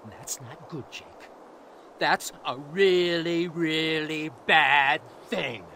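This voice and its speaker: An actor playing a menacing, smug biker villain, taunting theatrically in a gravelly voice for a video game. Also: male voice, on the high side, slow, bright, forceful tone, a little hiss or hum, full character voice. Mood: smug, threatening. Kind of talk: video game cutscene acting.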